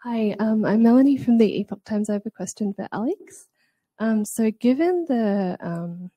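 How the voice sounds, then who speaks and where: A woman asks a long question from the audience at a panel event, stumbling over words and filling pauses. Her tone is very dark and mellow, and the sound is dry and close. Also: medium pitch, dry recording. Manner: hesitant, restarting words, many fillers. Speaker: audience member asking a question. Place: conference room.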